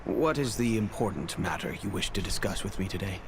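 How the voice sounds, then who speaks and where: A man's voice: sits low, expressive, noisy background. An actor playing a composed, guarded older father in a video game, speaking formally. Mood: calm. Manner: measured, formal.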